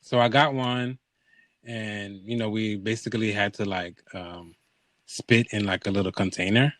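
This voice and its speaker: A man's voice: fairly low pitch, fairly even intonation, normal speed, fairly bright tone, no echo. A man hosting a podcast, telling a personal story in casual conversation, acting out quoted dialogue and checking in with his listeners.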